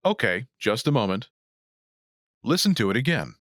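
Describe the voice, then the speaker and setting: Lively male lesson host, dry studio sound. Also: mid-range voice, normal speed, no room sound. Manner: clear didactic delivery. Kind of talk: scripted instructional narration.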